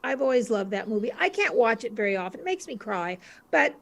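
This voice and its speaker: A woman's voice: on the high side, sing-song, fairly quick, gravelly. An older woman, a panel guest, reminiscing casually. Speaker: interview guest.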